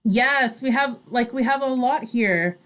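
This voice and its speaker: A woman's voice: fairly high pitch, a little slow, a bit bright. A woman co-hosting a casual live online talk show, chatting in a giggly, informal way. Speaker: online radio show co-host.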